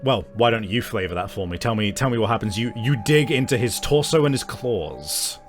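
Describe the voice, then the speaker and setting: A man acting as game master, improvising narration for a tabletop horror game in halting descriptions. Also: on the low side, expressive, faint music behind.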